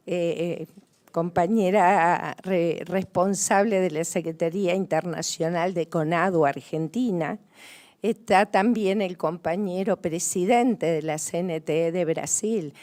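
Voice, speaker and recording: Measured woman moderating a press conference. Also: fairly low pitch, a little mellow. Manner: measured, with frequent hesitations. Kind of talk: formal introductions at a press conference.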